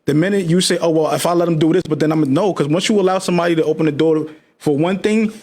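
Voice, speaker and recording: Guy co-hosting a podcast, talks quick and worked up, kinda high voice. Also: a little mellow. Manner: fast, heated, arguing in slang. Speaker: podcast co-host. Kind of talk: casual debate chat.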